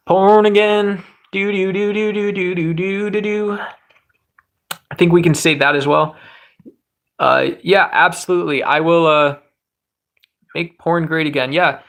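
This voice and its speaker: A man hosting a livestream, chatting with viewers in a rambling, joking way and swearing freely; he says his voice can turn high and squeaky. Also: vocal fry, a bit bright. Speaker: livestream host. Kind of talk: casual livestream chat with viewers.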